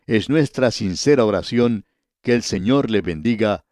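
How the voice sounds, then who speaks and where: A man's voice: on the low side, lively intonation, normal speed, darkish tone, dry recording. Dry-miked man teaching a Bible study. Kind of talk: radio Bible study sermon.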